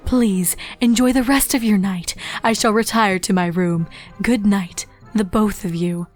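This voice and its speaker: Regal elder-sister pony princess, played by a woman: formal, measured, commanding yet caring, comic storybook with music. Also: medium pitch, steady tone, lightly acted. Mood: gentle, resigned. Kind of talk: comedic fan fiction voice acting.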